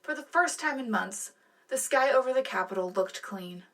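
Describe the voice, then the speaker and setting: A woman's voice: sits high, expressive, normal speed, a bit bright, distant mic, heavily acted. A woman playing a composed news co-anchor in a scripted sci-fi radio broadcast, with polished announcer phrasing.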